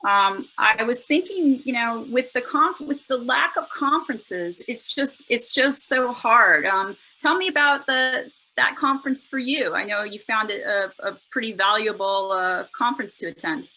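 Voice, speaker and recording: A woman hosts a relaxed online talk, speaking with a bright, clear tone. She chats loosely and often circles back with fillers and restarts. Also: on the high side, moderate pace, poor audio. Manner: chatty, with many fillers and restarts. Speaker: podcast host. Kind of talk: casual webinar chat.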